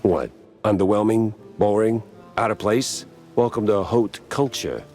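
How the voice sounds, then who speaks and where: A man's voice: on the low side, a little slow, darkish tone, faint music behind. A man playing a world-weary, wisecracking cartoon mouse private detective with a dry, sardonic delivery in a hardboiled noir game.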